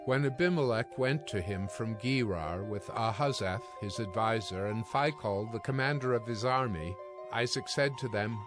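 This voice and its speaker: A man's voice: lowish voice, moderate pace, over music. A man narrating dramatized scripture as a scripted storytelling reading.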